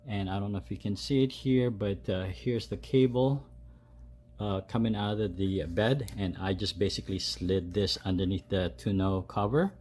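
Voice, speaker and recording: A man giving a casual, unscripted step-by-step tutorial as a DIY host, with halting delivery. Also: lowish voice, a little mellow. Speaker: host of a DIY truck modification video. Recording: clear background noise, ambient sound.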